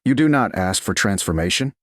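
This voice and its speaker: A man voicing a stern, reserved duke in a romance story, calm, formal and terse. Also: lowish voice, fairly quick, no room sound, clean studio sound. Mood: quiet, probing.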